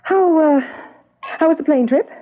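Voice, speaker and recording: An actress playing a warm, upbeat sister who coaxes and consoles in an old-time radio drama. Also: female voice, high voice, unhurried. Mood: cheerful, coaxing. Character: warm, coaxing, upbeat sister of a grieving widow. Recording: noisy narrow-band vintage radio recording.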